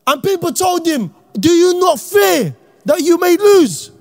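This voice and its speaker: A man from Iraq, giving an impassioned, slang-heavy talk to young people as an Islamic speaker and often shouting. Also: very high voice, very animated, unhurried, creaky. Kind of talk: impassioned motivational talk to youth.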